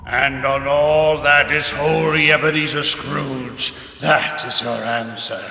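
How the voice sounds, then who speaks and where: Slow, echoing, gravelly spirit of judgment. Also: male voice, mid-range voice, steady tone, pushed and bright, exaggerated voice. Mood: stern. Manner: slow, weighty, ominous declaiming. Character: solemn, commanding spirit passing judgment on Scrooge. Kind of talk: theatrical radio play acting. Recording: echoing hall-like reverb.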